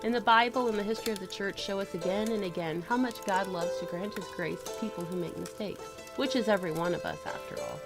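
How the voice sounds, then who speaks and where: A woman's voice: medium pitch, fast, few pauses. A woman patiently explaining an old legend to a girl in an audio drama. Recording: music under the voice.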